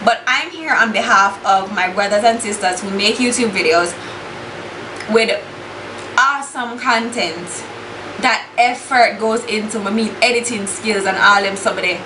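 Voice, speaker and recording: Young Jamaican woman vlogger, blunt and exasperated, higher voice, echoey room with steady hiss. Casual makeup chat. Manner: blunt and exasperated, swears freely, slips into patois. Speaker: beauty YouTuber.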